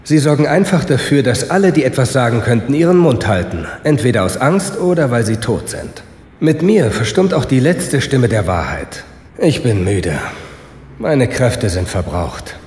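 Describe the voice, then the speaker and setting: A man's voice: medium pitch, big pitch swings, moderate pace, a little mellow, close to an everyday voice. Bitter condemned prisoner in an echoing room played by a man. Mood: bitter, resigned. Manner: sardonic, articulate. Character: bitter, resigned condemned prisoner, weary of life. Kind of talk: German radio play acting.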